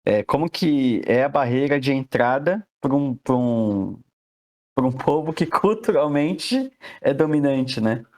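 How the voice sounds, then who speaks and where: A man on a podcast asking a guest a question in a hesitant, halting way, repeating words as he searches for them. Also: medium pitch, expressive, darkish tone.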